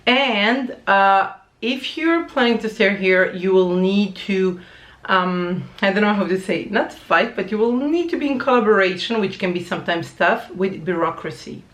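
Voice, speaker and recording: A woman's voice: medium pitch, moderate pace, a bit bright. Chatty Croatian-accented woman in an echoey room. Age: 30s. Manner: chatty, searching for words, mixes in other languages. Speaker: language teacher. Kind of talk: casual vlog to camera.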